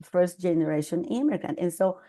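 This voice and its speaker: Older Salvadoran woman with a mellow voice. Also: fairly low pitch, expressive. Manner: measured, earnest storytelling with fillers. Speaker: podcast guest, author. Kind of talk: conversational interview.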